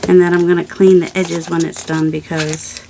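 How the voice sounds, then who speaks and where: A woman's voice: on the low side, little pitch movement, moderate pace, gravelly, mellow, slight background noise, poor audio. A woman hosting a craft video, chatting casually and rambling to herself with playful self-scolding while she works, over clicks and crinkling paper.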